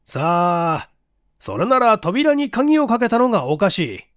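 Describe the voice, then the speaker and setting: A male narrator plays a middle-aged detective chief in a mystery audiobook. He is composed and authoritative, reasoning step by step. The pitch sits high for a man and moves widely, in a very dry recording. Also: big pitch swings, a bit bright, clean tone, no room sound, heavily acted. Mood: cautious, decisive. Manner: measured, authoritative, reasoning aloud. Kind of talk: audiobook character acting.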